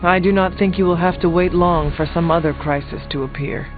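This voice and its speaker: An actor playing a solemn, blunt, ancient resurrected warrior in a video game, speaking formally and calmly. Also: male voice, high voice, lightly acted. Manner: formal, measured, few contractions. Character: centuries-old resurrected male warrior, solemn, blunt, calm. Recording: phone-like thin audio, music behind.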